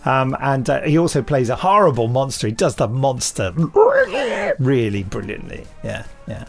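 A man's voice: medium pitch, normal speed, vocal fry, soft background music. A British man in casual podcast chat, rambling and gleeful as he hosts. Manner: chatty, rambling, gleeful quoting. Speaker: podcast host and drama director.

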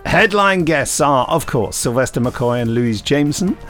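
A man's voice: mid-range voice, animated, normal speed, soft background music. Dry, joking man co-hosting. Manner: dry, jokey, trails off quietly. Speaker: podcast co-host. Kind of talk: casual chat, reading news.

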